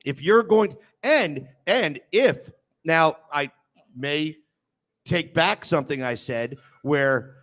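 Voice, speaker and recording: Higher-voiced man arguing football. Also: lively intonation, slow pace, fairly bright tone. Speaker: sports show panelist. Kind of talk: animated football discussion.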